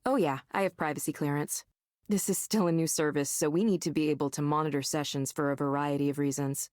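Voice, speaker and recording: An actress playing a pragmatic, matter-of-fact tech staffer in a visual novel, explaining things casually. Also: female voice, low voice, steady tone, fast, a little mellow, no room sound, clean studio sound, close to an everyday voice. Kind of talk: naturalistic visual novel voice acting.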